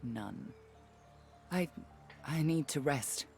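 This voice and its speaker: An actress playing a stern, resolute woman guide in a video game, speaking with deliberate, emphatic weight. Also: sits low, animated, gravelly, darkish tone, soft background music, heavily acted. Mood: resolute, encouraging. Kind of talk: video game character acting.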